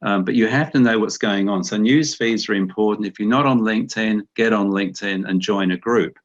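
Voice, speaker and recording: A middle-aged New Zealand man with a low voice gives a loose, conversational webinar talk, circling back and repeating himself as he goes. The audio is muffled and dull, with the lo-fi sound of an online call. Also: brisk. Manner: conversational, repeats words when restarting. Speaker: guest speaker.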